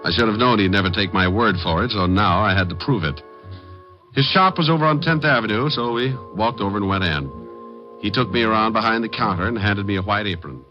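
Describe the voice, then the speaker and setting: An actor playing a breezy, wisecracking hardboiled private detective with sardonic, slangy patter in old radio drama style. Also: male voice, on the low side, a little fast, soft background music, close to an everyday voice. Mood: wry narration. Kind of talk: 1940s-50s radio detective drama acting. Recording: muffled vintage radio recording.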